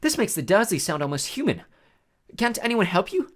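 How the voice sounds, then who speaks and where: A woman voicing a nervous, polite schoolboy wizard who talks in a hushed, hurried way. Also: mid-range voice, sing-song, voice pushed up.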